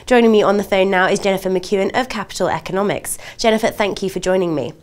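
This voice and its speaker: A woman's voice: medium pitch, quick pace. A woman presenting television news and putting scripted interview questions in a formal, polished manner.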